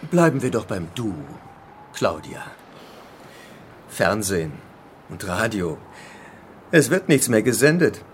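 An actor playing a calm, controlling leader of island survivors in a radio drama, speaking with measured, patronizing authority. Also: male voice, fairly low pitch, a little hiss or hum. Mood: smooth, patronizing. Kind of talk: German radio drama acting.

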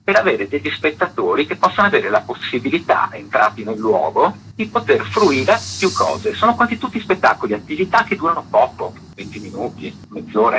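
A man's voice: on the high side, pushed and bright, a little hiss or hum, rough recording. A man explaining things articulately and in detail as an artistic director being interviewed on the radio.

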